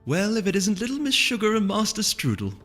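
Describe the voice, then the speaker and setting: A man plays an old storybook mare minding her market stall, raised in pitch. She sounds kindly, folksy and confiding, in a long reverb. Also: very animated, brisk, fairly bright tone, heavily acted. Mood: friendly. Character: kindly elderly female pony shopkeeper. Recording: long reverb with music underneath.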